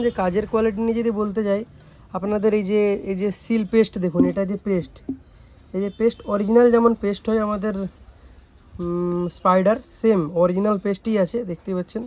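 Fast, high-pitched young West Bengali man. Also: a little mellow. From West Bengal, India. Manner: repeats check-in tags. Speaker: speaker repair shop presenter. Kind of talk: informal product showcase vlog.

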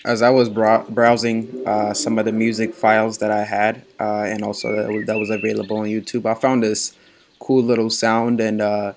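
A young man with a low, gravelly voice talks through his hair care in an unhurried, rambling way, filling gaps with "uh". His pitch stays flat and level throughout. A faint hiss of noise sits behind him. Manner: relaxed, rambling, many "uh" pauses. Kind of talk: casual voice-over narration.

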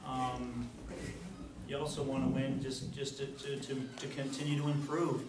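A middle-aged man, a basketball coach, answering press questions in a halting way with many fillers and restarts. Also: mid-range voice, flat delivery. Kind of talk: post-game press conference answers. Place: press conference room. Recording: roomy, distant, faint noise.

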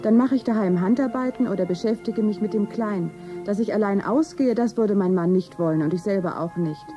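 A woman's voice: medium pitch, a little fast, dark tone, soft background music. A woman interviewee giving plain answers about her daily life. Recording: dull, band-limited sound.

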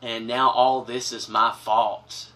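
A man's voice: medium pitch, slow pace, distant mic, poor audio. Earnest man telling a story to camera. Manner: earnest, dramatic, expressive with big gestures. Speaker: storyteller host. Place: small wood-paneled room, indoors. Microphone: camera from a few feet away.

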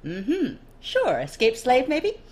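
A woman's voice: high-pitched, huge pitch swings, slow pace, a little mellow, pitched above the performer's own voice, full character voice. A woman voicing a teasing, flamboyant nobleman in a story, with a theatrical, prying manner. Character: smirking, flamboyant, teasing nobleman with a dramatic mustache.

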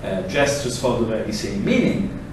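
A middle-aged man with an Italian accent giving a lively technical presentation in a lecture room, acting out examples as he goes. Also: lowish voice, moderate pace, a little hiss or hum. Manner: animated, gesturing, acts out examples in quoted voices. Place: large lecture room, indoors. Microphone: far camera, no mic. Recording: echoey room from far off.

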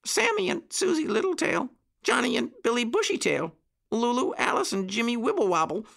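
Excitable high-pitched little puppy boy. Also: normal speed, gravelly, full character voice. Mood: eager. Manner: barking, breathless exclamations. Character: excitable little puppy boys, eager and pleading.

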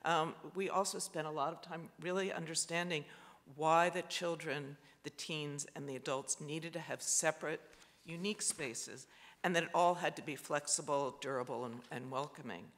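A man with a fairly high, bright and gravelly voice presents at a panel, halting and full of fillers and restarts. He sounds like he's in a large auditorium with a long reverb tail. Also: fairly even intonation. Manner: hesitant, many fillers and restarts. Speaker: architect. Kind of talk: panel talk presenting slides.

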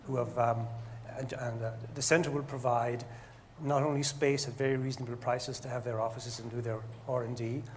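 An older man with a mid-pitched voice gives considered remarks on a panel. He is deliberate and hesitates often between phrases. There is faint noise behind him in the hall. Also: fairly even intonation, a little mellow. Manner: deliberate, hesitant with frequent pauses. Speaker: panelist, engineer. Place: stage of a lecture hall, indoors.

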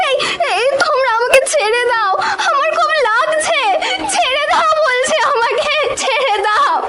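A woman's voice: extremely high pitch, unhurried, pushed and bright, full character voice. A woman playing an aggrieved village wife in a cartoon, imploring and tearful on behalf of her accused husband. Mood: tearful, distressed. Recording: music behind the voice.

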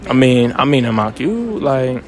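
A man's voice: medium pitch, sing-song, a little slow. A young man hosting casual street interviews outdoors, joking and teasing in slangy talk.

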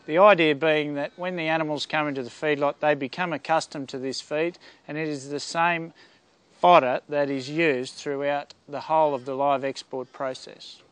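Businesslike man, higher voice. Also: fairly even intonation, moderate pace, a bit bright, clear, resonant tone, dry recording. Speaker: feedlot and feed mill manager. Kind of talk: interview explanation.

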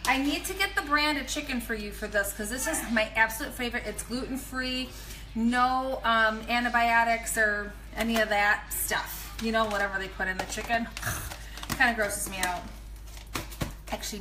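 A woman's voice: fairly high pitch, normal speed, background noise. A pregnant woman in her thirties, a nutrition coach, chatting casually and rambling through meal prep tips in her home kitchen, filmed on a phone held at arm's length. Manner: chatty, rambling, animated asides. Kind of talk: casual live video tips.

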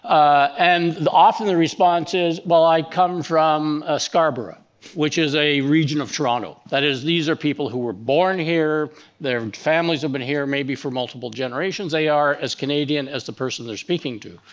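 An older man on a conference panel, speaking in a measured, lecturing way into a headset mic. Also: on the high side. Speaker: panelist, professor. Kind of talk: panel discussion. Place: conference stage. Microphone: headset microphone.

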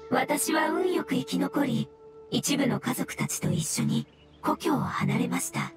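High-voiced, formal little fungus spirit. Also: female voice, measured pace, loud music behind the voice. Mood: solemn, wistful. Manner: formal, precise, wordy explanations. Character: polite, solemn, learned female-voiced floating fungus spirit of an ancient race. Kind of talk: Japanese video game dub acting.

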